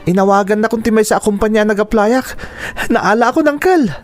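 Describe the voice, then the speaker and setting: A man's voice: high-pitched, fairly quick, soft background music, lightly acted. A man playing a caring young nephew in a radio drama, earnest, concerned and respectful.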